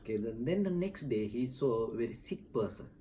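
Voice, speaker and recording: Sri monk guy, mellow voice, lots of uhs and restarts, bit of background hiss. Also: medium pitch, animated. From Sri Lanka. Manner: halting, with many fillers and restarts. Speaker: Buddhist monk. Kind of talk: explaining teachings in an interview. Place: temple.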